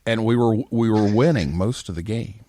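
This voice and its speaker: A man's voice: fairly low pitch, moderate pace, warm tone. An American man casually hosting a live talk show.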